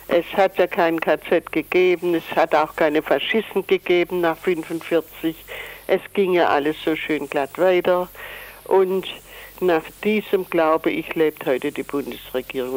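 An older woman speaks as an interviewee and spokesperson. She sets out her points deliberately, with emphatic repetition and a critical edge. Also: lowish voice, a little mellow, low-quality recording. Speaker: chairwoman of a survivors' association, interviewee.

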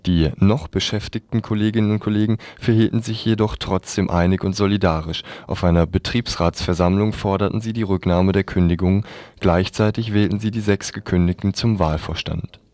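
A man's voice: deep voice, steady tone, a little fast, a little mellow, dry recording. A man reading scripted news reports on the radio.

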